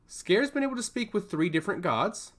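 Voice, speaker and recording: Casting: male narrator as an anxious but commanding fantasy queen, earnest and pressing, expressive intonation. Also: high voice, a little fast, voice pushed up. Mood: surprised. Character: worried, determined queen and mother.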